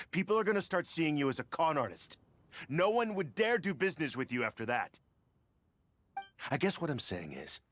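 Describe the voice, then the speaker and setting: A disgruntled bidder in a video game, his pitch jumping around a great deal. He blusters, grumbles and is easily flustered. Light noise sits behind the voice. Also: a bit bright. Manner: blustering, hesitant when challenged.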